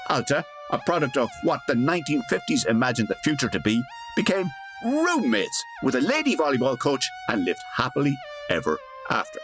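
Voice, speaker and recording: A man's voice: mid-range voice, huge pitch swings, fairly quick. A man giving scripted comic narration in a theatrical, wry manner. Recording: loud music behind the voice. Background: musical score.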